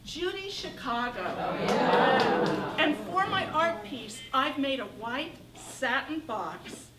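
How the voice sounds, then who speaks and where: A woman in her sixties from Northern California telling a wry, animated comic monologue on a theater stage. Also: high voice, slow pace, over a noisy crowd. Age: 60s. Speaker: solo performer. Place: theater stage with live audience. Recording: echoey room from far off, noisy.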